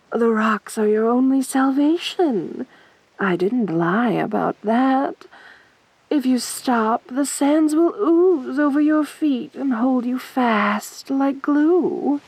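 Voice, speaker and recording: Actress as sadistic female siren, ASMR roleplay: breathy, teasing mock sympathy, very close dry sound. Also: high-pitched, no room sound, heavily acted. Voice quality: soft, breathy. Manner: taunting, drawn-out coaxing with mock sympathy.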